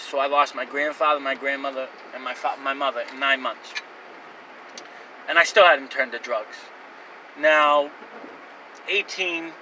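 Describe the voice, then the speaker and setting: A man's voice: medium pitch, bright, forceful tone, recorded from a distance, a little hiss or hum, low-quality recording. A man in his twenties telling his own life story to camera in a rambling, candid way, with fillers and restarts. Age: 20s. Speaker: vlogger.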